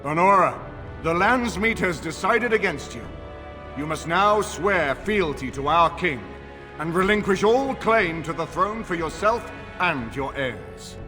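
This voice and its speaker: A man's voice: high-pitched, expressive, measured pace. A man playing a stern, dignified nobleman who presides over an assembly, speaking formally and with command in a fantasy game. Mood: grave, commanding. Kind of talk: dramatic game cutscene dialogue. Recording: long hall reverb, music underneath.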